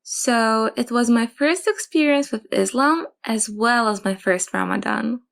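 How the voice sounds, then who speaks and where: A young woman, calmly telling her own story in a soft, measured way. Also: fairly high pitch, creaky. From Japan. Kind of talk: calm personal testimony.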